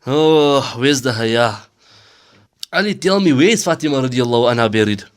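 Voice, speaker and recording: A man's voice: mid-range voice, expressive, moderate pace, natural voice. A man playing a stern Islamic teacher, preaching rhetorically in an audio drama. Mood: admonishing. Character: stern male Islamic teacher admonishing students on modesty. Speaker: religious teacher.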